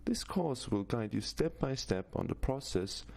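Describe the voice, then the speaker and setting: A middle-aged man from Austria with an Austrian German accent, giving a scripted introduction to his course in a steady, list-like way. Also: medium pitch, measured pace, creaky. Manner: steady, list-like delivery with frequent "Now" openers. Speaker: course instructor.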